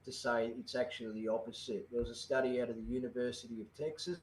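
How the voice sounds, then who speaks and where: An Australian man hosting an online forum, halting and full of fillers. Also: medium pitch, steady tone, roomy sound, a little hiss or hum, low-quality recording. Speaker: host.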